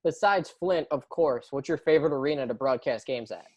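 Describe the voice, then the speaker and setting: A man's voice: medium pitch, brisk, slightly rough, dry recording. A man hosting a conversational interview podcast, speaking close to the mic. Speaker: podcast host.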